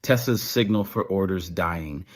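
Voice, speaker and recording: Male narrator, deep pitch, scripted fiction storytelling. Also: measured pace, noisy background.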